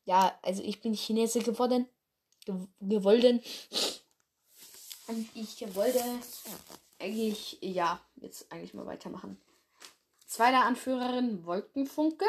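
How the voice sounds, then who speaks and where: A woman's voice: a little high, a little slow, long pauses. A woman chatting casually in her bedroom, giggly and rambling, laughing at her own mistakes. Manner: giggly, rambling, self-deprecating. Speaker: host of a fan clan roleplay channel.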